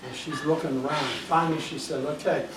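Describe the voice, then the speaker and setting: A man's voice: fairly high pitch, fairly even intonation, a little fast, noisy background. An older man born in Hungary, giving a chatty guest talk at a library and often checking in with "okay?". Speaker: guest speaker. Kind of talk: informal library talk with slides. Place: library meeting room. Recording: echoey room, speaker far from the mic.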